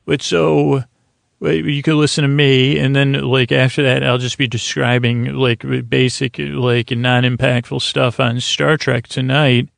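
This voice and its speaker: A man with a creaky, gravelly voice hosting a sleep podcast, giving a halting, meandering, soothing bedtime monologue full of digressions. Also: mid-range voice, level tone, fairly bright tone, no echo, studio quality. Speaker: podcast host. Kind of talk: rambling bedtime monologue for falling asleep.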